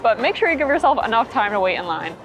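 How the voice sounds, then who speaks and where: A woman vlog host chatting with excitement, gushing about a show at a diner. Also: a little high, fast talker, highs cut off. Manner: gushing, enthusiastic. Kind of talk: excited travel vlog chat. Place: busy diner with live singing and a crowd. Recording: loud music and crowd noise behind. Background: loud singing and diner chatter.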